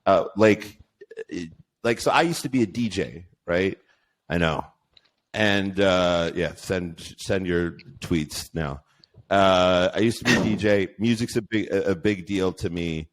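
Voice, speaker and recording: A man's voice: low voice, measured pace. A man in casual podcast chat, rambling with many restarts and teasing the others.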